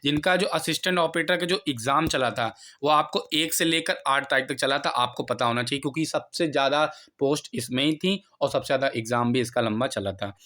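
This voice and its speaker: A man's voice: on the high side, bright, forceful tone. A man giving an informal, instructional explainer to students, repeating points and mixing in English words. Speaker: exam analysis presenter, teacher.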